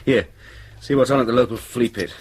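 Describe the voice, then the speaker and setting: A man's voice: medium pitch, a little fast, background noise, lightly acted. An actor playing a wry, easygoing British man supporting his partner in a radio drama. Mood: concerned, casual.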